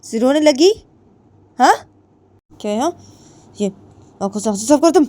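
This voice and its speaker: A woman voicing a domineering young woman who keeps loudly demanding answers and repeating her questions. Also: high-pitched, lively intonation, normal speed, pitched above the performer's own voice. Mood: angry, demanding. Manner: badgering, repeats demands, loud and insistent.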